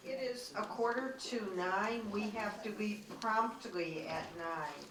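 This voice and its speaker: A woman moderating a panel discussion, speaking in an unhurried way with many fillers. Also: medium pitch, crowd noise. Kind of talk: event moderating. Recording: roomy hall, distant mic.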